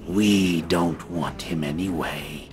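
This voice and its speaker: An extremely deep-voiced villain who speaks very slowly. He is taunting and falsely polite, with music behind him, in a fantasy audio drama. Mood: menacing. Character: slow, menacing, taunting male villain.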